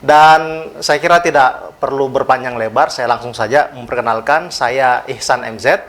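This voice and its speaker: A man's voice: mid-range voice, fairly bright tone. Polite, hesitant man from South Sulawesi. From South Sulawesi, Indonesia. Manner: polite and measured, many hesitant fillers. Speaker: guest, youth organisation official. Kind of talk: interview talk.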